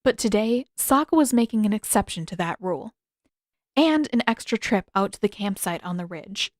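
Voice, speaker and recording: A woman's voice: medium pitch, expressive, moderate pace, dry recording, studio quality. A woman reading a story aloud as scripted narration. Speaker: podfic narrator and author.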